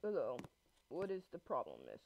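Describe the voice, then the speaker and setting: A woman's voice: low voice, huge pitch swings, normal speed, slight background noise, voice pushed down, full character voice. A woman acting out an awkward male police officer in an amateur roleplay drama, hesitant and blunt. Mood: awkward, matter-of-fact. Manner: hesitant, blunt, full of ums. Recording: muffled lo-fi audio.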